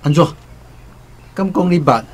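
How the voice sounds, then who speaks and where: A man's voice: on the high side, lively intonation, unhurried. A Taiwanese-accented actor playing a wary village headman in a radio drama, talking in a probing, conversational way. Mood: secretive, probing. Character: wary male village headman hunting a wanted killer.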